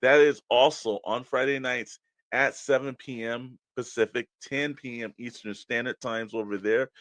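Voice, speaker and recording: A man hosts a casual podcast in a dry, close-sounding recording with a medium pitch. He talks straight to the listeners, pitching things in a loose, conversational style. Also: steady tone, moderate pace, fairly bright tone, no room sound. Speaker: podcast co-host. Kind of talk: casual promotional podcast chat.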